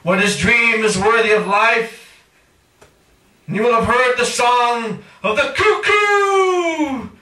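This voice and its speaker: A man reading his own poetry aloud in a theatrical spoken-word style, with dramatic chanting, drawn-out phrases and cries. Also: very high-pitched, bright, forceful tone. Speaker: poet reading own work. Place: indoor live reading at a cafe. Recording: distant mic in echoey room.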